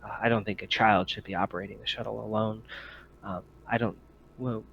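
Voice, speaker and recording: Guy doing a laid-back puzzled spaceship guy in a scifi rpg, lowish voice, kinda dull recording. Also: steady tone, a little fast, vocal fry, fairly bright tone, a little hiss or hum. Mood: amused, patronizing. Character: easygoing, perplexed male spaceship contact, mildly patronizing.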